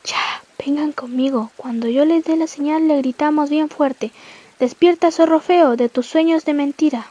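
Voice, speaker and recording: Young woman as a high-voiced shrewd Chilean partridge. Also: normal speed, warm tone, lo-fi sound, lightly acted. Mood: scheming. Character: shrewd, indignant female partridge healer. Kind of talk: amateur school radio play acting.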